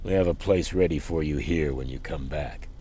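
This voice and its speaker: An actor playing a gruff, businesslike male quartermaster in a video game, matter-of-fact and streetwise. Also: a bass voice, moderate pace, mellow, a little hiss or hum.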